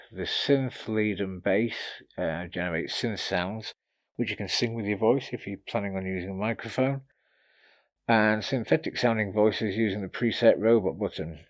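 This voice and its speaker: A man giving a casual, informal product review, explaining features and tips in a slightly hesitant way. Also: lowish voice. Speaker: gear reviewer presenting a demo.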